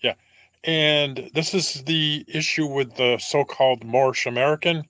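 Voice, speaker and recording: A man's voice: on the high side, fairly even intonation, a little slow, fairly bright tone, no room sound. A man hosting a casual chat, mostly giving brief agreeing replies.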